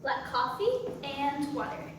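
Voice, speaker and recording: A perky, quirky diner waitress, acted by a woman in a staged play reading. Her voice is high and her delivery unhurried. It carries from far off in a very echoey room. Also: expressive. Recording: distant, very echoey room with faint noise.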